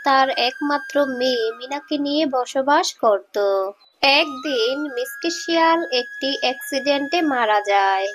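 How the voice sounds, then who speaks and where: A woman's voice: high voice, measured pace, background noise. A woman delivering scripted storybook narration for a children's cartoon.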